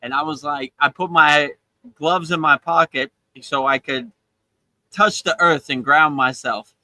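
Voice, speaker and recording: A man's voice: on the high side, steady tone, a little slow, bright, forceful tone, no room sound, low-quality recording. A man from Miami, Florida, hosting a live spiritual talk and telling stories in a chatty way, dropping in "you know" often and laughing between points. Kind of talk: casual spiritual storytelling. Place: outdoors in Thailand.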